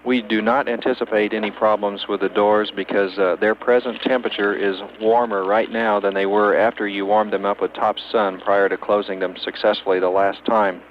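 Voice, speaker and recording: A man's voice: fairly low pitch, level tone, moderate pace, hardly any pauses. A man working as a spacecraft communicator, speaking in matter-of-fact procedural radio calls over a muffled, hissy archival link. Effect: two-way space radio. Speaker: capsule communicator at mission control.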